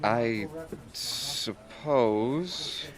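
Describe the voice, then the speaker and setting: A man's voice: medium pitch, very slow. A man playing a sheepish, put-upon office worker in an audio comedy, hesitant and evasive. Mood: reluctant. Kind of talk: audio theatre comedy acting.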